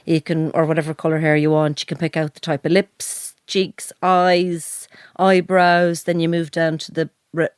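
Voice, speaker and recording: A woman's voice: low voice, level tone, normal speed, clean tone, no room sound, clean studio sound. A woman co-hosting a podcast, needling the men with provocative questions and laughing. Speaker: podcast co-host. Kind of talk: casual chat.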